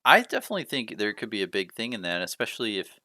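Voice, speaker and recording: A man's voice: on the low side, sing-song, quick pace, sharp tone, no room sound, studio quality. A man hosting a casual talk show, chatting loosely with lots of "you know" fillers. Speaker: podcast host. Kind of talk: casual chat.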